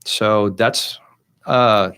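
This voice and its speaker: A man's voice: on the low side, steady tone, drawn-out words, bright, forceful tone, clear, resonant tone. A middle-aged man from Venezuela with a Venezuelan Spanish accent, a native Spanish speaker, talking hesitantly with many fillers as an interview guest. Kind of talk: interview conversation.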